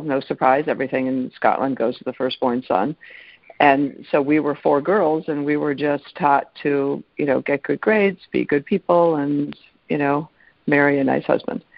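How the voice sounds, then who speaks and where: Female guest, 60s, American accent, fry in the voice, conversational, dry lo-fi recording. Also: sits low, no echo. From Minnesota, United States. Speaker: podcast guest. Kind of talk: casual autobiographical interview talk. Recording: dull, lo-fi call audio.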